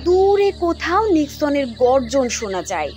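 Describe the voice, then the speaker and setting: A woman's voice: high voice, sing-song, normal speed, a little mellow, soft background music. A woman giving scripted, dramatic narration of a fairy tale.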